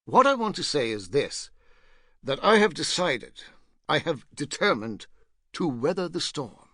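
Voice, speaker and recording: Actor playing a fussy, rueful ex-painter, swooping delivery. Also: male voice, fairly high pitch, sing-song, unhurried, a bit bright, dry recording, studio quality, pitched above the performer's own voice, full character voice. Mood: brooding, rueful. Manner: fussy, wry, digressive, self-correcting. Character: rueful, self-mocking, pompous male ex-painter nearing fifty, confessing thefts. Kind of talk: literary first-person audiobook narration.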